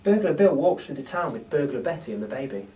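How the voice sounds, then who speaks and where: A man narrating a children's story as an expressive storyteller. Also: on the high side, quick pace, a little hiss or hum. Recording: distant microphone.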